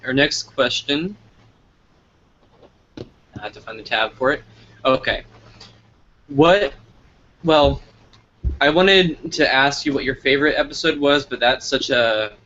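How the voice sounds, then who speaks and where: A man's voice: medium pitch, bright, forceful tone, highs cut off. A man hosting a casual live interview over an online call, informal and thinking aloud as he goes. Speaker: interviewer and host. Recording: noisy, band-limited online call audio.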